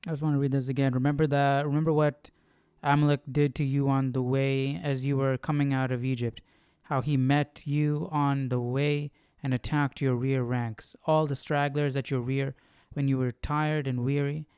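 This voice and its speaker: Clear-voiced man thinking aloud. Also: mid-range voice, flat delivery, normal speed, few pauses, a little mellow, no echo, studio quality. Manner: thinking aloud, questioning himself. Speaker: host of a Bible study stream. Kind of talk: casual Bible study talk, reading aloud with commentary.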